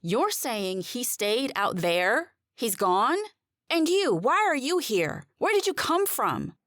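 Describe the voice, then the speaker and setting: A woman's voice: on the high side, big pitch swings, takes it slowly, fairly bright tone, no room sound, clean studio sound, pitched above the performer's own voice, exaggerated voice. A woman playing an exasperated, bewildered woman caught in a supernatural mystery, sarcastic and demanding. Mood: alarmed, pleading.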